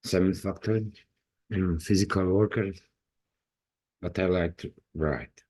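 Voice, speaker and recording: Man, croatian accent, deep voice, slow careful english, husky tone. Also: a little mellow. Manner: halting, non-native phrasing with restarts. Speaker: indie author. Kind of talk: personal talk to camera.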